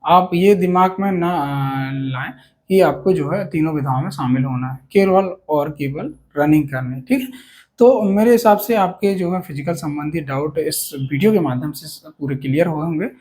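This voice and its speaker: A man's voice: on the high side, moderate pace, a little mellow. A man giving an informal explainer to students, repeating key points emphatically and often checking that they follow. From India. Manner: repetitive, emphatic, with frequent check-in tags. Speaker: host of a police exam advice channel.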